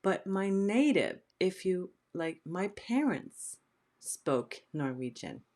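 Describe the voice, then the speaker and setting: Slow-talking middle-aged woman from Sweden. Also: on the low side, very animated, darkish tone. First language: Swedish. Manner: chatty, unhurried, reads out viewer questions. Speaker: host. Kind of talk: casual live stream chat.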